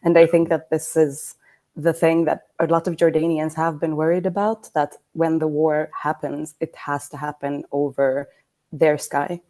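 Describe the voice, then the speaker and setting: A young adult woman journalist from Iraq who grew up in Sweden, speaking in a measured, thoughtful way with frequent pauses on a podcast. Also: sits low, little pitch movement, warm tone. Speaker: journalist guest. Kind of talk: casual podcast chat.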